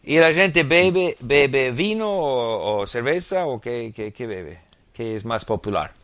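A man speaks slowly in halting Portuguese, pausing on fillers while he hunts for words. His English-speaker accent comes with Spanish slips mixed in. He sits close to a dry mic, with an ordinary pitch. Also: animated, fairly bright tone, no room sound. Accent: English-speaking learner of Portuguese, Spanish slips. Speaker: podcast host. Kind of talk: casual conversation.

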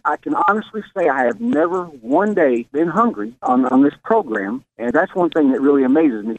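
A man's voice: fairly high pitch, fairly bright tone, dry recording, poor audio. A man in his fifties calling in to a radio show, earnest and eager, rambling as he tells his story. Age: 50s. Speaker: caller. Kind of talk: phone-in testimonial.

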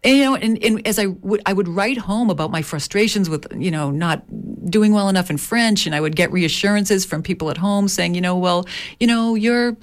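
A woman's voice: medium pitch, moderate pace. A woman midwife and author talking in an interview, reflective and hesitant, often restarting her sentences. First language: English. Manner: reflective, many restarts and fillers. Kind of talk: interview conversation.

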